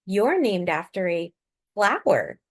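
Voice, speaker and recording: Woman as catty storybook schoolgirl, smug, pointed, emphatic, lively intonation. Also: mid-range voice, slow pace. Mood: haughty. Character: smug, catty little schoolgirl, a know-it-all.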